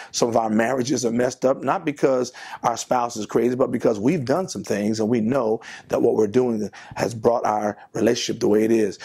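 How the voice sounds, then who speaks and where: A man's voice: mid-range voice, gravelly, darkish tone, slightly rough. An older man, a pastor, giving an earnest devotional sermon from his office desk, speaking emphatically and asking rhetorical questions, recorded on a lapel mic.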